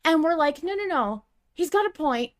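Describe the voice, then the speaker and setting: A woman's voice: sits high, animated, normal speed. A woman co-hosting a podcast, talking casually and emphatically, with an argumentative bent and some swearing. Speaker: podcast co-host. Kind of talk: animated casual discussion of an audio drama.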